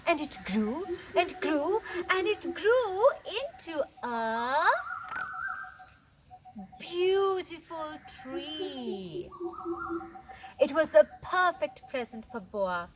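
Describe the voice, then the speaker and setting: A woman reading a picture book aloud to children in a teacherly, expressive way, stretching words for emphasis and putting questions to her listeners. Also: high voice, drawn-out words. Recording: clear background noise.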